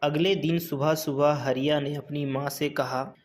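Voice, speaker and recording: A man's voice: a little high, steady tone, a little slow. A man narrating a scripted moral story for children as a storyteller.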